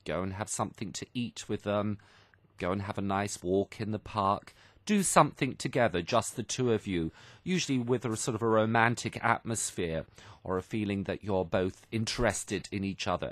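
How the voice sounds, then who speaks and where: A man hosting an educational radio programme for language learners, with very lively, varied intonation. He explains expressions step by step in simple words and repeats them clearly for students. The sound is dry and close, as in a studio. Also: fairly low pitch, no room sound. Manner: didactic, explains phrases simply with examples and repetition. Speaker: radio programme host and English teacher. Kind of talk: explanatory English lesson for learners, radio presenting.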